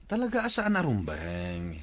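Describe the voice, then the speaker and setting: A man's voice: lowish voice, huge pitch swings, normal speed, exaggerated voice. A man playing a gossipy village husband in a comedy drama, with a Filipino accent. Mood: earnest.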